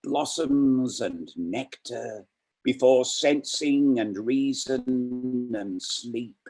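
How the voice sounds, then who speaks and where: A man reading poetry aloud as a poet, measured, pausing between short phrases. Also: mid-range voice, a little mellow, faint music behind.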